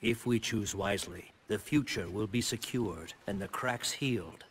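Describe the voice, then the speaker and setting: An actor playing a wise, solemn thunder god and mentor in a video game, giving grave, measured counsel. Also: male voice, on the low side, a bit bright, slight background noise, natural voice. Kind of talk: video game drama acting.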